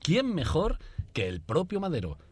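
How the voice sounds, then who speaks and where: A man's voice: fairly high pitch, huge pitch swings, normal speed, a little mellow. A man hosts a comedy radio show, playful and theatrical. His voice carries a light reverb. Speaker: radio host. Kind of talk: comic radio hosting.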